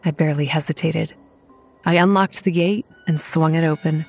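An actress playing a wary, anxious young campground manager who narrates a horror audio drama in the first person. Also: female voice, low-pitched, steady tone, normal speed, darkish tone, faint music behind. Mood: wary narration.

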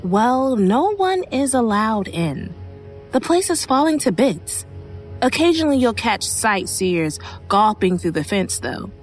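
A woman's voice: fairly high pitch, sing-song, a little slow. An actress playing a guarded, reticent shopkeeper telling local ghost lore in a horror audio drama, with music behind her. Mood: dismissive, guarded.

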